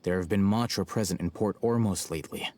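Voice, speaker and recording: An actor playing an aloof, self-assured young scholar in a video game, speaking in a measured, blunt, dry way. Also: male voice, sits low, mellow, lightly acted. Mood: dry, cautioning. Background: music.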